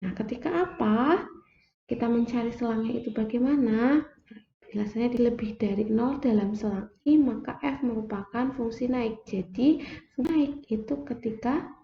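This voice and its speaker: A young woman explaining a math lesson slowly, step by step, like a teacher at a board. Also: on the high side, gravelly, slight background noise. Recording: echoey room, dull lo-fi sound.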